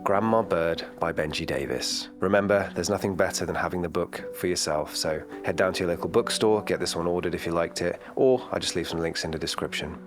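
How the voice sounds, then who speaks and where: A man reading a children's bedtime story in a calm, gentle storytelling manner. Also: low-pitched, brisk, hardly any pauses, music in the background.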